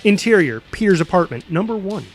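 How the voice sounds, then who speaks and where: Higher-pitched man narrating a screenplay. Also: sing-song, a little fast, loud music behind the voice. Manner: dramatic, with vocal sound effects. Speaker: narrator reading stage directions.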